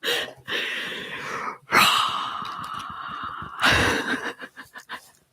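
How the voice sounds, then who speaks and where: A woman's voice: drawn-out words, sharp tone, a little hiss or hum. A young woman, an ASMR creator, whispering as she rambles playfully, giggles and reads out viewer comments.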